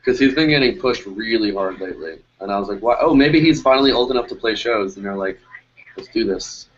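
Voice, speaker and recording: A man's voice: on the low side, fairly quick, slightly rough. Easygoing man in his twenties, muffled. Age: 20s. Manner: easygoing, rambling, theorizing. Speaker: music producer. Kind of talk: casual podcast chat. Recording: dull lo-fi stream audio.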